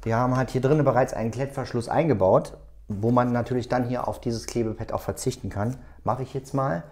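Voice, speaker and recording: A man with a soft, dark-toned voice talks through a practical task as he does it. He explains each step without a script, in a halting way, as the host of a tutorial. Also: mid-range voice. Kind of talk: hands-on tutorial, talking while working.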